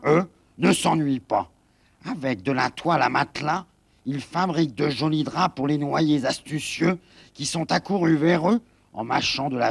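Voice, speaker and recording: A man reciting poetry with measured, deliberate phrasing. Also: medium pitch, vocal fry, husky, no room sound.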